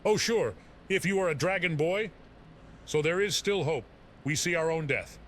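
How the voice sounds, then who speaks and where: A man's voice: fairly high pitch, normal speed, a little hiss or hum, natural voice. A man voicing a frantic, paranoid old man in hiding who rambles in fantasy game dialogue. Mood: frantic, urgent. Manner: rambling, agitated.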